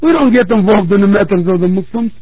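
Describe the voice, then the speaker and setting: A man with a raspy, breathy voice gives a measured sermon, repeating phrases to drive his points home. The sound is thin and phone-like, and very dry. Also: high voice, animated, no room sound. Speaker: preacher. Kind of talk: religious talk to a congregation.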